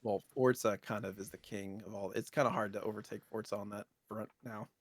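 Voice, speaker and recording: A man's voice: on the low side, steady tone, a little fast, no echo. A man with an American accent in casual conversation, co-hosting a gaming podcast. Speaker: co-host of a retro gaming podcast. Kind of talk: casual chat about video games.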